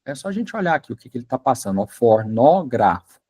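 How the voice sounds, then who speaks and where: A man's voice: mid-range voice, big pitch swings, fast, darkish tone, slightly rough. A man teaching a university class in a casual, chatty way, often checking that students are following along. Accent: Brazilian Portuguese. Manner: chatty, asks students if they follow, many fillers. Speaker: university lecturer. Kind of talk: informal classroom lecture.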